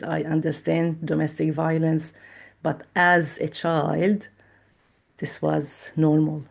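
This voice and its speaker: A middle-aged woman from Lebanon, a trauma therapist, speaks in a measured, thoughtful way with frequent pauses as she tells her personal story in an interview. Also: low-pitched, gravelly, a little mellow.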